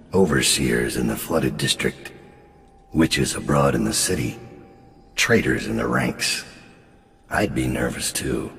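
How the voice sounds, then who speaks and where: A grim, menacing assassin boss for a video game. The voice is extremely low and thick with gravel, measured and world-weary, with dry threat in it. A long reverb hangs around it. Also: male voice, full character voice. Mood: cold menace. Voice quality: gravelly, very deep. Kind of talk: video game character acting.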